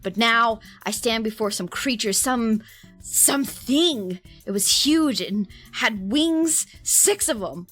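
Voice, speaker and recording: Bewildered British police chief, played by a woman, theatrical storybook narration, halting and slow-paced. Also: a little high, expressive, fairly bright tone, soft background music, voice pushed up, full character voice. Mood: alarmed, dramatic. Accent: put-on British. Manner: dramatic, stammering storytelling. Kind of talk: dramatic first-person story reading.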